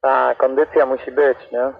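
A man's voice: medium pitch, little pitch movement, unhurried, gravelly. A man with a Greater Poland accent chatting casually as an amateur operator over CB radio. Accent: Polish, Greater Poland. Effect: CB radio transmission. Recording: tinny, noisy CB radio reception. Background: radio static.

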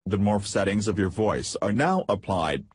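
A man reading a scripted software tutorial as narrator, in a measured, step-by-step way. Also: fairly low pitch, expressive, slightly rough.

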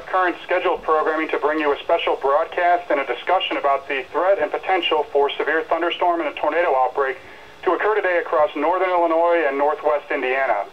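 A man giving a live severe weather briefing as a meteorologist, earnest and explanatory, heard over a radio. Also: on the high side. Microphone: radio speaker re-recorded by a camera in a room. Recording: muffled weather radio broadcast played through speaker.

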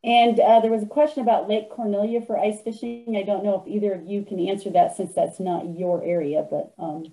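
A woman's voice: medium pitch, steady tone, a little fast, hardly any pauses, mellow, low-quality recording. A woman with a Midwestern American accent moderating a webinar on a video call, relaying questions in a hesitant way with many ums. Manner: hesitant, filler-heavy.

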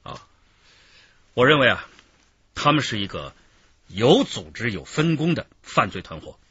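A man's voice: mid-range voice, very animated, a little slow, fairly bright tone, husky, dry recording, heavily acted. An actor playing a methodical, considerate male police detective in a radio drama. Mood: analytical. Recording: dull lo-fi radio drama recording.

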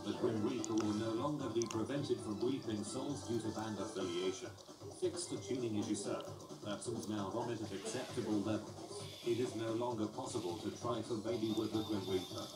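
Deadpan man reading memes, far off. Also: lowish voice, a little fast. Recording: roomy, distant, loud music behind. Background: loud background music.

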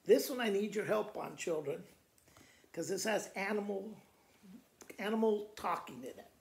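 An older man with an American accent reading children's poems aloud in a deliberate, expressive storytelling manner. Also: sits high, vocal fry. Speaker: school principal reading to pupils. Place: living room by a fireplace, indoors. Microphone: camera a few feet away. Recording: echoey room, camera mic at a distance.

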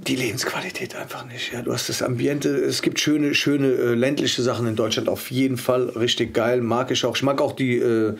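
Man, forties, podcast guest: animated, laughing, salty casual talk, studio mic. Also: medium pitch, moderate pace, creaky, few pauses, breathy edge. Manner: animated, laughing, swears casually, lots of fillers. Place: podcast studio with foam panels. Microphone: studio condenser mic on boom arm, wearing headphones.

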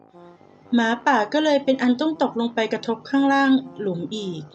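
A woman reading a fable aloud as a storyteller, in a calm, measured manner. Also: sits high, highs cut off, soft background music.

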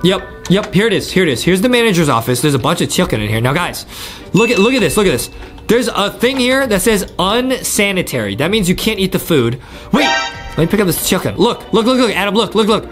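A man in his twenties giving excited gameplay commentary, eagerly repeating his phrases. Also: high voice, brisk. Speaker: gaming video host. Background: faint game music.

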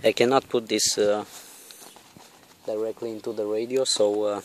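A man giving a casual, hands-on demonstration in a halting, deliberate way, recorded outdoors on a handheld camera. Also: lowish voice, steady tone, a little hiss or hum. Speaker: vlogger demonstrating electronics. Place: outdoors on a lawn. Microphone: handheld camera or phone, held by the speaker.

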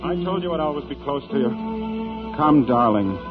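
A man's voice: medium pitch, lively intonation, moderate pace, close to an everyday voice. A man playing a devoted, tender sweetheart in a tinny 1940s radio drama. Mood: tender, soothing. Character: devoted, tender male sweetheart comforting his beloved. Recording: tinny 1940s radio broadcast, music behind.

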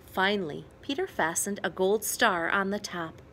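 A woman reading a children's picture book aloud as storyteller, with expressive emphasis and dramatic pauses. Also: mid-range voice, slight background noise.

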